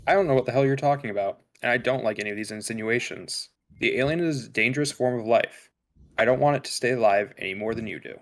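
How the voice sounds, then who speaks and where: A man's voice: mid-range voice, fast talker, lightly acted. A man playing a cool, evasive spaceship science officer in a sci-fi script table read. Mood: guarded, defensive.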